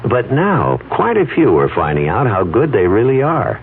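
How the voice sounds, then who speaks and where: A man's voice: lowish voice, huge pitch swings, normal speed, narrow, tinny audio. A man announcing a scripted radio advertisement. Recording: band-limited old radio, faint noise.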